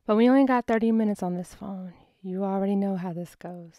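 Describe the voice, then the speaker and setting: A woman reading her own poetry as spoken word, intimate and expressive, with phrases dropping into breathy softness. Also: medium pitch, brisk, no echo, studio quality. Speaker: poet reading own work.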